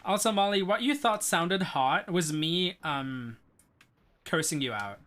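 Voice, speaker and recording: A man's voice: on the high side, expressive, measured pace, pushed and bright. A man with a Norwegian accent chatting casually on stream, rambling with lots of fillers. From Norway. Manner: rambling, filler-heavy, laid-back. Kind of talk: casual chat during a speedrun stream.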